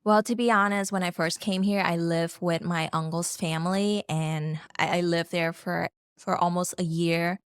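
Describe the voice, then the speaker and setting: A woman's voice: fairly low pitch, fairly even intonation, normal speed, few pauses, clean tone, dry recording, studio quality. A young woman from Vietnam, a Vietnamese speaker, chatting as a podcast guest in an easygoing way with many fillers. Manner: easygoing, laughing, lots of fillers. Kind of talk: casual podcast chat.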